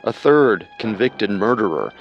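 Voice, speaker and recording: Low-pitched man narrating true crime. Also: sing-song, measured pace, lo-fi sound, soft background music. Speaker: co-host narrator.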